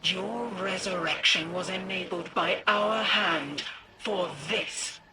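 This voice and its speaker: A woman's voice: mid-range voice, takes it slowly, heavy background noise. A woman voicing a cryptic cosmic entity in a game, whimsical, grandiose and taunting in a theatrical way. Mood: grandiose, playful. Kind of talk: scripted game cutscene dialogue.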